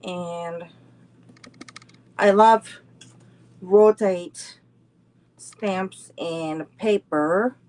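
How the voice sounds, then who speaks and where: Woman, middle-aged, card-making instructor, very slow unhurried delivery, chatty live-class teaching. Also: lowish voice, darkish tone. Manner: slow, unhurried, greets viewers and chats between steps. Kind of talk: live online craft class.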